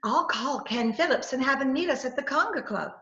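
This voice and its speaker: Casting: actress for theatrical female poisoner, very lively, breezy and coaxing, radio comedy, dull roomy video-call recording. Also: high-pitched, fairly quick. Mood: coaxing, flirtatious. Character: scheming, theatrical woman posing as a lady poisoner gangster. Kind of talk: 1940s radio comedy acting.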